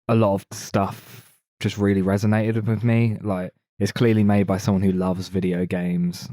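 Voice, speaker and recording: A man gives his opinions as a podcast co-host. His voice is a bit low and his talk is casual and conversational. The sound is very dry, without room echo. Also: little pitch movement, moderate pace, warm tone. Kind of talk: casual film discussion.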